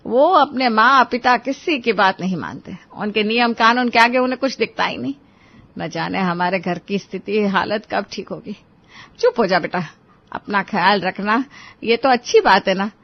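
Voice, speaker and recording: A woman's voice: mid-range voice, normal speed, lo-fi sound, natural voice. A woman voicing a resigned mother in a family story drama, soothing and consoling her child. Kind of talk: Hindi moral-story audio drama.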